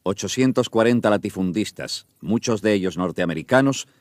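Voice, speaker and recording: A man's voice: on the low side, animated, moderate pace, dry recording, studio quality. A man reading factual scripted narration in a radio documentary drama.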